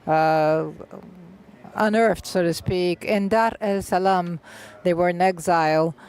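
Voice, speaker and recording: Simultaneous interpreter, festival panel, halting delivery, frequent filled pauses, mid-pitched voice. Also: fairly even intonation, a little mellow. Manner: halting, many filled pauses and restarts, uneven pace.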